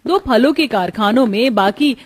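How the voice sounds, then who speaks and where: A woman's voice: on the high side, expressive, moderate pace. A woman storyteller reading scripted narration for a moral tale.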